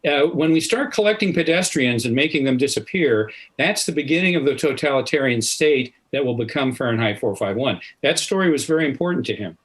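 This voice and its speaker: A man's voice: medium pitch, expressive, fairly quick, few pauses. An older man speaking as an academic panelist on a video call, explaining in an emphatic storytelling way. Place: indoor home study, video call.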